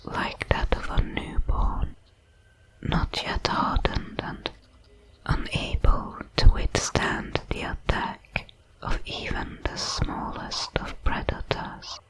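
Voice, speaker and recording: A woman voicing a detached, curious alien creature in a sci-fi ASMR roleplay, whispering in slow, deliberate, clinical phrases. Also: fairly bright tone, rough recording. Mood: curious, measured. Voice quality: breathy whisper.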